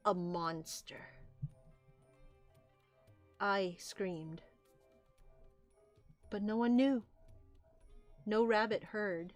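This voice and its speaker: A woman's voice: mid-range voice, very slow, long pauses, no room sound, soft background music. A woman voicing a weary mother rabbit from a comic, solemn and subdued as she speaks. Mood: sorrowful. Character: weary, forgiving mother rabbit scarred by loss.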